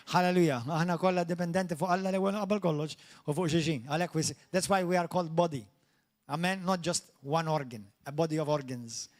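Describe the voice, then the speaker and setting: Maltese man, pastor, bilingual sermon, high-pitched, earnest, restarts mid-phrase. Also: fast. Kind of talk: sermon, translating himself between English and Maltese.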